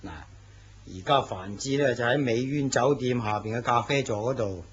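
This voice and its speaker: Man voicing a domineering company boss, husky, curt, dull lo-fi sound. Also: mid-range voice, normal speed, lightly acted. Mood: commanding. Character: arrogant middle-aged male company president. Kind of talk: Cantonese radio drama acting. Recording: dull lo-fi recording.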